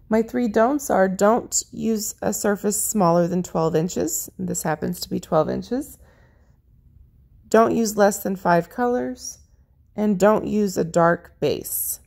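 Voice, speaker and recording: Woman with a really gravelly raspy voice, chatty craft voice-over, walking through her paints. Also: mid-range voice, warm tone. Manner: chatty, friendly, lists colors as she works. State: slightly raspy voice. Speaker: paint pouring artist. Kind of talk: casual how-to narration over craft footage.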